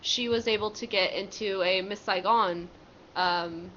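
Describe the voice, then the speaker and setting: Bright-voiced young woman, lo-fi recording. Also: mid-range voice, fairly even intonation, measured pace. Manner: conversational storytelling with frequent ums. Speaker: podcast co-host. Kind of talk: casual group chat. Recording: muffled lo-fi recording with faint noise.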